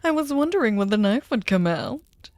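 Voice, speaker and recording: A woman playing a sadistic, possessive, centuries-old vampire lord in an intimate ASMR roleplay, speaking theatrically and tauntingly, often dropping into breathy whispers. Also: mid-range voice, very animated, moderate pace, darkish tone, no echo, clean studio sound. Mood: mocking, threatening.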